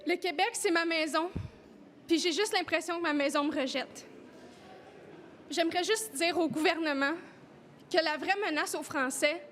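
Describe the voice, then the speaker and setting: A woman with a Québécois accent speaks earnestly at a press conference, her voice high and carrying clear emotion. Light background noise sits under her words. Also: a bit bright. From Quebec. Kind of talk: personal testimony at a press conference.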